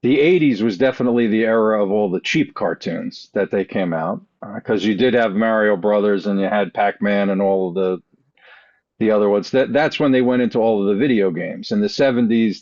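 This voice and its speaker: Middle-aged male panelist, slightly low pitch, halting but emphatic casual talk, dry and somewhat muffled sound. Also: darkish tone, dry recording. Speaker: podcast panelist. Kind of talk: casual chat.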